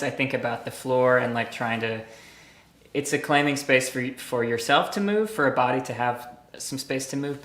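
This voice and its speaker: A man with a middle-range voice asks questions thoughtfully, pausing and restarting as he searches for words. His voice rings in a noticeably echoey room. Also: medium pitch, fairly bright tone. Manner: thoughtful, hesitant, many fillers. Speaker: interviewer, host. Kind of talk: conversational interview.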